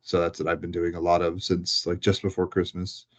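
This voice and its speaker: Male co-host, slightly low voice, informal and meandering, muffled lo-fi recording. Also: completely flat delivery, fairly quick. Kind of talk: casual chat.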